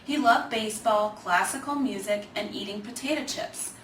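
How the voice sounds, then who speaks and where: A woman reading a children's story aloud in an expressive, measured way, with emphasized phrases. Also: medium pitch. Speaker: Alzheimer's organization staffer reading a children's book. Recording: echoey room, distant mic, faint noise.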